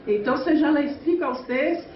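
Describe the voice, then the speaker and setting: A woman's voice: sits high, a little hiss or hum. Brief: Brazilian woman, Portuguese accent, earnest union speaker, far off in an echoey room. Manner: halting Spanish mixed with Portuguese, earnest. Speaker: union delegate. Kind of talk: conference speech. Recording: echoey, distant, muffled hall recording.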